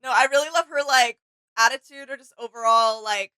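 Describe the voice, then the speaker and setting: A woman's voice: on the high side, a little fast, bright, forceful tone, smooth, rough recording. A woman co-hosting a reaction video, chatting informally in an admiring, agreeable way. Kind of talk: casual reaction chat.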